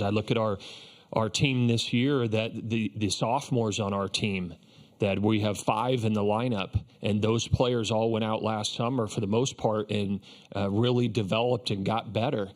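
Low, flat-voiced coach at a press conference. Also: male voice. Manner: measured, reflective, frequent restarts and "you know". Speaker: head baseball coach. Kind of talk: postgame press conference answers. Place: press conference room, indoors. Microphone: table microphone.

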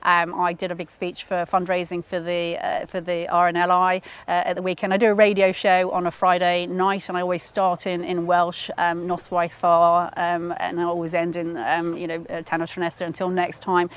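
Middle-aged woman MP, earnest and halting, seated outdoors for a podcast interview. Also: fairly low pitch, completely flat delivery, smooth. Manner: earnest, with frequent fillers and restarts. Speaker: member of parliament. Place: outdoors at an agricultural show.

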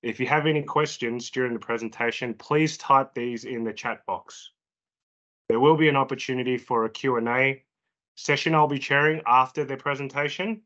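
A man's voice: medium pitch, steady tone, normal speed, pushed and bright. A man hosting a webinar, reading a polite scripted introduction and housekeeping notes. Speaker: webinar host. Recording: dull lo-fi video call audio.

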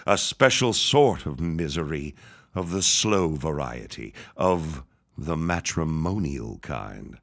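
An old preacher villain with a very low voice. He speaks slowly and grandly, savoring his vengeful schemes with quiet menace, in the manner of a period audio drama. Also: heavily acted. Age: older.

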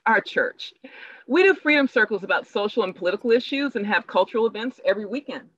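Woman acting an eager church organizer, animated. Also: mid-range voice, quick pace. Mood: friendly, forward. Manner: eager, proselytizing. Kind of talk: online play reading. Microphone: video call microphone. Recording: dull lo-fi video call.

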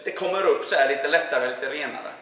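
A man in his forties from Sweden, a painting contractor with a Swedish accent, answering interview questions in a relaxed way with many filler sounds, recorded on a lapel mic in a large indoor warehouse. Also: fairly high pitch, fast. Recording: very echoey warehouse with long reverb.

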